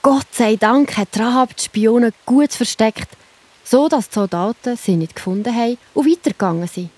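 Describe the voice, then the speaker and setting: A woman's voice: on the high side, normal speed, a little mellow, breathy edge, dry recording. A woman with a Swiss German accent narrating a scripted children's Bible story, reassuring and speaking straight to the young listener.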